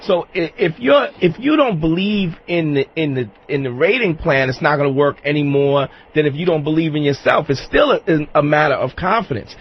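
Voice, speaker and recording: Man, husky fairly high voice, casual radio advice, halting and repetitive, dull broadcast sound. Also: animated. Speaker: radio show co-host.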